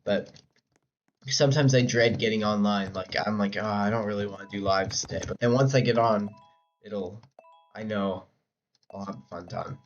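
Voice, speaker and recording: Hoarse young man rambling on stream. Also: fairly low pitch, normal speed, slight background noise. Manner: rambling, chatty, answers chat with fillers and restarts. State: hoarse, losing his voice. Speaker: game streamer. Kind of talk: casual live-stream chat while playing. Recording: dull lo-fi mic with faint keyboard typing.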